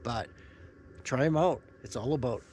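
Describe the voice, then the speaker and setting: A man's voice: mid-range voice, normal speed. A man with a stuffy, nasal voice from a head cold, chatting casually to camera outdoors at a campsite. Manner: relaxed, rambling, with fillers and restarts. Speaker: vlogger. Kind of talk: casual vlog talk to camera.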